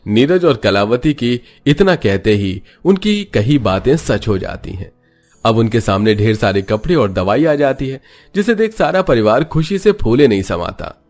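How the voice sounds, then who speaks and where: A man's voice: mid-range voice, expressive, unhurried, a little mellow. A man giving scripted narration for a cartoon moral story. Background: background music.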